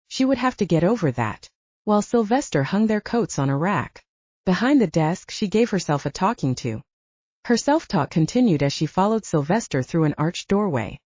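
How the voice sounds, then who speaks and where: A woman's voice: lowish voice, darkish tone, no room sound, muffled recording. A woman reading a romance novel aloud as a scripted audiobook narrator.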